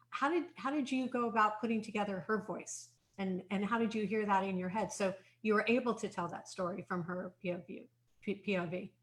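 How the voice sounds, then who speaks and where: A woman's voice: mid-range voice, fairly bright tone. A woman hosting a book event, asking curious, rambling questions with restarts. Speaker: host. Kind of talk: interviewing authors.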